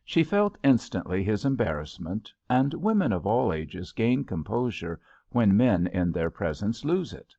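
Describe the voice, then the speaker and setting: A man reading literary fiction as an audiobook narrator, in an expressive, measured storytelling manner. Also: on the low side, vocal fry, dry recording. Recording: slightly dull, lo-fi, dry audio.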